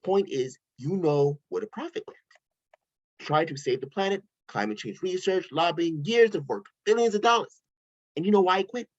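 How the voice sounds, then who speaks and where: An eccentric tech billionaire, read by a man rambles with sarcastic self-assurance. His fairly high voice leaps all over. The home mic sounds dull and lo-fi. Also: lively intonation, normal speed. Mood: animated. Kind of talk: table read of a screenplay.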